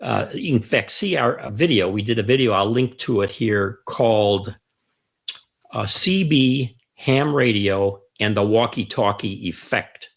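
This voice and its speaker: An older American man with a fairly low voice hosts a conversational explainer. He is chatty and leans on his key phrases as he talks with a co-host. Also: measured pace, vocal fry. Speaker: host of a ham radio show.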